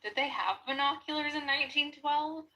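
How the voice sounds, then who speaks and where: Bright-voiced woman, recorded from a distance. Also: sits high, fairly quick. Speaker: paranormal investigator. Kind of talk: spoken questioning during an investigation. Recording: distant mic, faint room noise.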